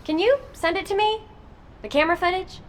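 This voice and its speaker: A woman's voice: very high voice, fairly quick, a little hiss or hum. A woman playing a bubbly, impulsive and sentimental young sci-fi soldier in a video game, chirpy and playful. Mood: pleading.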